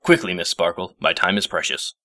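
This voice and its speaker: Man putting on a pompous, forceful old unicorn professor. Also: low-pitched, brisk. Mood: curt, imperious. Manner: haughty, imperious, formal. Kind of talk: storybook character voice.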